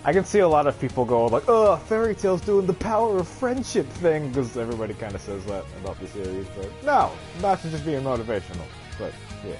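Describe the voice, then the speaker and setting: A man's voice: medium pitch, very animated, brisk, few pauses. A man casually commenting on a live game stream, chatting like an enthusiastic fan in rambling, slangy talk. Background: game music with guitar.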